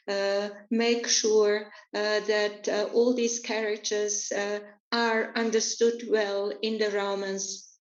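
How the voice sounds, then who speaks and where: A woman's voice: a little high, darkish tone. A woman interpreting an interview into English, hesitant and full of filler sounds. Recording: roomy, dull lo-fi recording.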